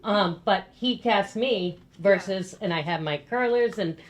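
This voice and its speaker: A woman's voice: mid-range voice, a little slow. A woman telling a casual anecdote in a rambling, conversational way at a live discussion. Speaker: community theater actor in the audience. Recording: noisy room at a live event.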